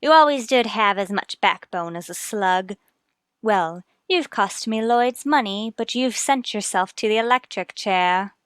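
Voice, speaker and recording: A woman's voice: mid-range voice, expressive, a little slow, clear, resonant tone, no echo, clean studio sound, lightly acted. A woman playing a bitter, accusing wife cornered as a murderer in a radio mystery drama. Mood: scornful, bitter. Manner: scornful, accusing.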